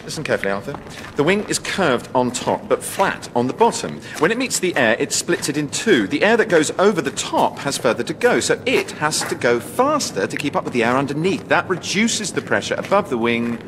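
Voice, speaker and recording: An actor playing a fussy, insecure English airline captain in a radio sitcom, pedantic and easily indignant. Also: male voice, fairly high pitch, big pitch swings, brisk, big-room sound, noisy background. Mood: officious.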